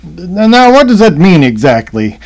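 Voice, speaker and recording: A man's voice: high voice, big pitch swings, moderate pace, creaky, a little mellow, heavily acted, poor audio. A man acting in a radio play as a grumpy, fussy American tourist husband who is easily charmed by women. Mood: flirtatious, eager.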